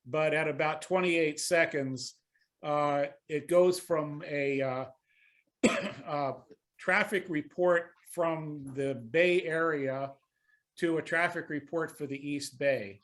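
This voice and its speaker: A man giving a technical presentation on a video call, speaking in a halting, hesitant way. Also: fairly high pitch, fairly even intonation, a bit bright.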